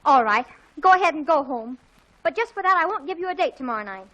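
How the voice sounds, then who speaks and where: A melodramatic teenage girl in an old radio comedy. The voice is high and bright, with gushing, indignant delivery that takes offence easily. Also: lively intonation, quick pace, muffled recording. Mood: hurt, indignant. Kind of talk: 1940s radio comedy acting. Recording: 1940s radio broadcast.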